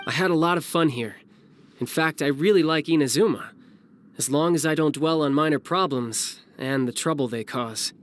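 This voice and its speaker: A man playing a breezy, cunning young fighter in a video game, smooth-talking and nonchalant. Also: fairly high pitch, a little hiss or hum, close to an everyday voice. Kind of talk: video game cutscene voice acting.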